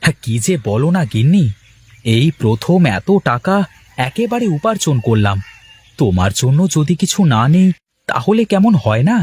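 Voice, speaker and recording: A boastful cartoon village fisherman, acted by a man, with a highish, husky voice. He is excited and secretive, talking in an animated, coaxing way like a husband newly come into money. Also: normal speed. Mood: reassuring, proud. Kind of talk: cartoon moral-tale dialogue.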